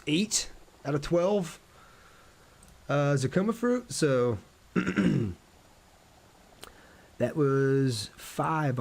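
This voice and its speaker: A man streaming a video game, chatting casually and conversationally with his viewers as he plays. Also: mid-range voice. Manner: conversational, reads viewer comments aloud and answers them. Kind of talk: casual livestream chat while playing a game.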